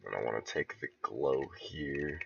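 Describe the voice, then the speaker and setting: A man casually talking through his level building on a stream, relaxed and thinking aloud with soft chuckles. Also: a bass voice, unhurried, gravelly, muffled recording, noisy background. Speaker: game level creator streaming. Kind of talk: casual commentary while building.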